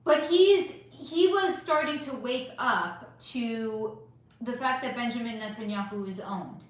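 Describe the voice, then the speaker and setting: A woman hosting a casual live stream, answering chat questions in an emphatic, rambling way with swearing, her voice a bit hoarse. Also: a little high, unhurried. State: voice going hoarse. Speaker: psychic channel host reading live chat. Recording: heavily echoey room.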